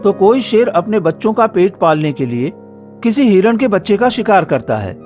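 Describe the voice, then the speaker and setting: A man's voice: high voice, moderate pace, soft background music. A man voicing an earnest young villager. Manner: steady and reasoning.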